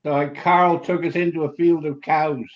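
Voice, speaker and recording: A man's voice: a little high, steady tone, moderate pace, lo-fi sound, noisy background. A man chatting casually with friends, telling stories with wry asides. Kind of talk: casual chat.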